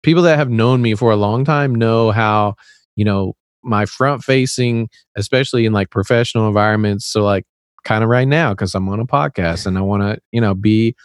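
A man's voice: fairly low pitch, moderate pace, no room sound, studio quality. A man from Tennessee with a light Southern US accent, chatting as a podcast guest in a loose, rambling way full of fillers. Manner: rambling, relaxed, many fillers. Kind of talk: casual podcast conversation. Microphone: remote call.

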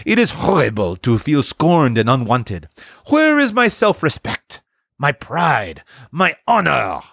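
A man's voice: mid-range voice, huge pitch swings, normal speed, no room sound, clean studio sound. A man voicing an earnest, proud male mouse in a storybook, with dramatic, exclamatory delivery. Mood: distressed.

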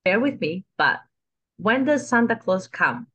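A woman's voice: medium pitch, very animated, measured pace, a bit bright, slightly rough, lo-fi sound. A woman guest on a podcast who jokes and laughs often in casual chat.

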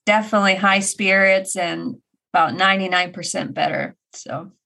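A woman in her forties co-hosting a podcast, her voice a little raspy after an illness, chatting in an animated way and laughing often. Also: medium pitch, normal speed. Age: 40s. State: slightly raspy from recent illness. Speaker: podcast co-host. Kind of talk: casual chat.

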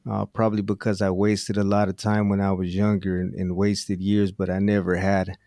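A man hosting a conversational interview show, speaking in deliberate, drawn-out phrases with some repetition. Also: sits low, monotone, mellow, dry recording, studio quality. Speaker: show host. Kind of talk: conversational interview, personal introduction.

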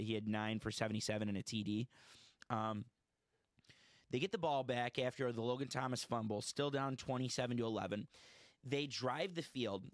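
A man's voice: medium pitch, no room sound. A man hosting a casual sports podcast, chatty and opinionated, often correcting himself mid-sentence. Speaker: podcast host.

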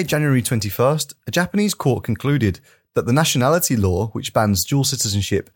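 A man's voice: mid-range voice, no room sound, clean studio sound. A man co-hosting a podcast, moving between casual chat and reading out news in an informal, careful way. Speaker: podcast co-host.

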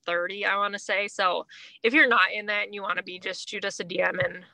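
A woman's voice: mid-range voice, steady tone, a little fast, creaky, sharp tone, dry recording, low-quality recording. A woman in her thirties chatting casually as a podcast co-host, informal and a little self-deprecating. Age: 30s. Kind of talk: casual chat.

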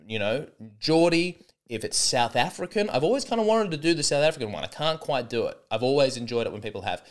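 A man in early adulthood riffs through a solo comedy podcast, his pitch leaping up and down as he talks. He rambles and restarts his sentences often, with a dry, sarcastic edge. His voice sits at an ordinary middle pitch for a man. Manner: rambling, sarcastic, many false starts.

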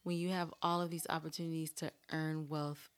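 A woman's voice: sits low, little pitch movement, brisk, smooth. A woman from California with an American accent, hosting a casual podcast with playful, teasing banter. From California, United States. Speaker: podcast host asking questions.

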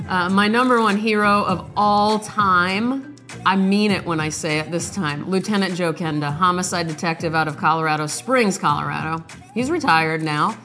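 Low-voiced woman, chatty and self-mocking, voice rough from a sinus infection, solo in a home studio. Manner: chatty, self-deprecating, joking. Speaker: podcast host reading poems. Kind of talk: casual chat and poetry reading.

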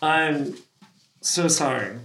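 A woman's voice: sits low, drawn-out words, a bit bright, full character voice. A woman playing an easily shocked, sympathetic best friend in a casual comedy sketch read.

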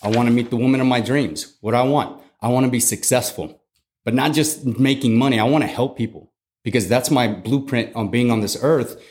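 A man's voice: medium pitch, fairly quick, darkish tone. A middle-aged man from Texas, a guest in a casual interview, telling his life story in an earnest, reflective way.

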